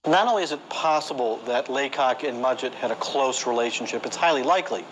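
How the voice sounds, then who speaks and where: A man's voice: medium pitch, animated, a little fast, a bit bright, a little hiss or hum, low-quality recording. A man explaining emphatically and demonstratively as an interviewed documentary expert.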